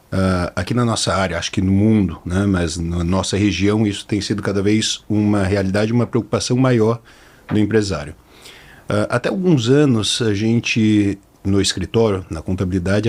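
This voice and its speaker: A man hosting a podcast interview, chatty and informal. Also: on the low side. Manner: chatty, informal, repeats words while thinking. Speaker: podcast host.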